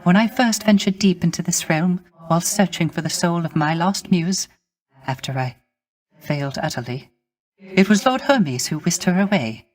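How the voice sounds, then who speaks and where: A man playing a gentle, melancholy male musician of the underworld in a video game, speaking formally and courteously with wistful, poetic, old-fashioned phrasing. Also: sits high, lively intonation, normal speed. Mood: wistful, tender. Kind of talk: scripted video game character acting.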